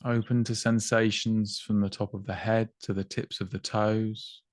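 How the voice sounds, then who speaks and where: A man with a fairly low voice leads a body scan meditation. He gives gentle instructions in short phrases, unhurried, with long silences between them. The sound is dry, with no room around it. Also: flat delivery, no room sound. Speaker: meditation guide.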